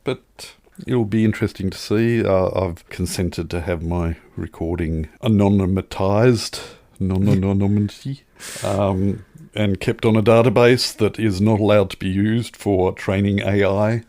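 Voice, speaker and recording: A man's voice: fairly low pitch, fairly even intonation, measured pace, mellow, dry recording, studio quality. A man chatting casually as a podcast co-host, telling anecdotes in wry, wordy turns of phrase.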